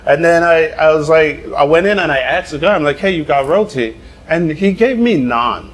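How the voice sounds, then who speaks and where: Animated Guyanese-born man telling stories. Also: a little high. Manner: animated storyteller, quick bursts, laughs often. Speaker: chef. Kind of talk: casual chat.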